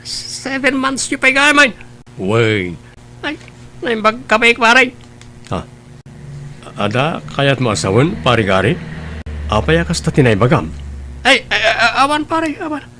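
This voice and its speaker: A man voicing an excitable, teasing male friend in an Ilocano audio drama, full of exclamations. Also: high voice, slight background noise, full character voice.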